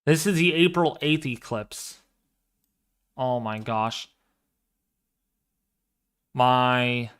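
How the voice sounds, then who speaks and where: A man in his twenties hosting a casual livestream, talking through an astronomy program with excitable exclamations as he thinks aloud. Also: on the high side. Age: 20s. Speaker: livestream host.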